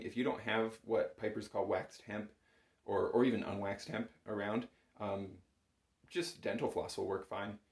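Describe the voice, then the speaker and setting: A man's voice: lowish voice, creaky. A man giving casual, reassuring step-by-step instruction as a music teacher, recorded indoors in a small room. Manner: reassuring, informal, explains step by step. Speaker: bagpipe instructor. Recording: roomy, echoing small room.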